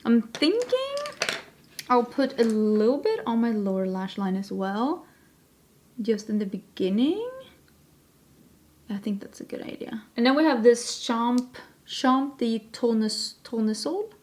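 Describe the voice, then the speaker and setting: A young woman talks casually through a makeup tutorial in a fairly high voice. She chats as she works and pokes fun at herself colours her English. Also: expressive, measured pace, long pauses, a little mellow. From Sweden. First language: Swedish. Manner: chatty, self-deprecating, talks while working. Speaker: beauty vlogger.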